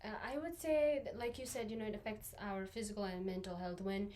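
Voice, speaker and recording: A woman's voice: mid-range voice, steady tone, brisk, dark tone, a little hiss or hum. A young woman from Nepal, co-hosting a casual podcast chat, full of "like" and "you know" fillers. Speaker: podcast co-host.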